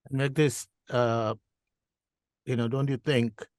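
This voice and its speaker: A man's voice: medium pitch, little pitch movement, slow, darkish tone, no room sound, clean studio sound. A man in a podcast conversation, musing aloud and searching for words.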